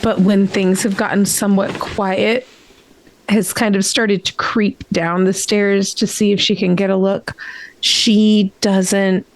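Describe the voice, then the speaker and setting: A woman's voice: mid-range voice, level tone, slow, rough recording. A woman playing a tabletop game, describing her character's actions in a hesitant, improvised third-person narration.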